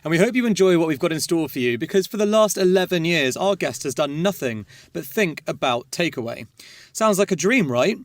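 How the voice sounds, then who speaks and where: A man hosting a podcast, reading a polished intro and then asking playful, teasing quick-fire questions. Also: on the high side, animated, no echo, clean studio sound. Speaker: podcast host. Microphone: studio mic.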